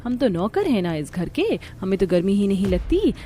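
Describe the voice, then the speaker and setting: A woman playing an irritable, city-bred young daughter-in-law in an animated family story, grumbling and sarcastic. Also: medium pitch, normal speed, slight background noise, close to an everyday voice. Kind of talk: Hindi animated family-drama story acting.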